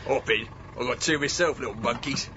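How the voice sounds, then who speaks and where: A man playing a brash, excitable taxi driver in a radio comedy. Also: medium pitch, brisk. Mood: chirpy. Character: chirpy taxi driver who turns savagely abusive. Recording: muffled, with clear traffic noise.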